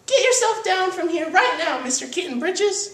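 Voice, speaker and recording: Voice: extremely high pitch, lively intonation, normal speed, a bit bright, distant mic, voice pushed up, heavily acted. A storybook reader voicing a stern, scolding mother cat who lectures with emphasis. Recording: roomy echo, background noise.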